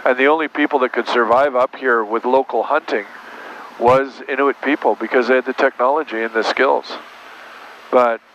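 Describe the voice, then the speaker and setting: A man's voice: mid-range voice, normal speed. Plain-spoken male pilot through dull cockpit audio. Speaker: airline captain. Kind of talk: casual cockpit chat. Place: small turboprop aircraft cockpit in flight. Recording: dull muffled audio, steady aircraft engine noise. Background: aircraft engine drone.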